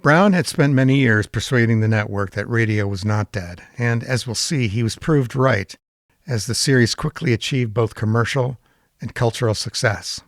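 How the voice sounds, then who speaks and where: A man's voice: mid-range voice, moderate pace, no room sound, studio quality. A man reading a scripted, informative introduction as a series presenter.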